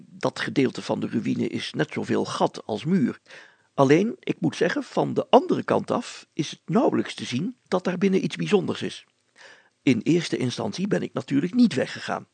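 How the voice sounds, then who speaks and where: A man voicing an excitable, secretive village mayor in an audiobook, telling things emphatically and deliberately in a creaky voice. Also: medium pitch, no echo, pitched below the performer's own voice, exaggerated voice. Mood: excited, confiding. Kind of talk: acted audiobook dialogue.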